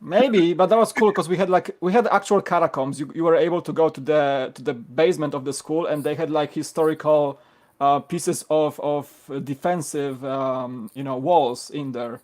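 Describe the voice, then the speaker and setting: A man from Poland chatting on a live video-call stream, excitable, with stammered repeats, often trailing off quietly. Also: fairly high pitch, moderate pace. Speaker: regular co-host joining a live stream. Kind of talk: casual live-stream chat.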